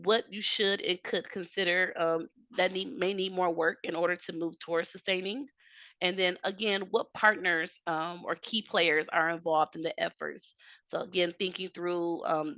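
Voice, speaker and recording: A woman giving a webinar talk over a video call, a little hoarse from a cold. Her voice is bright and slightly lower-pitched, and the recording is close and dry. She explains at a steady pace, with many "um" fillers. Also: no room sound. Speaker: technical assistance presenter. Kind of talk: webinar presentation.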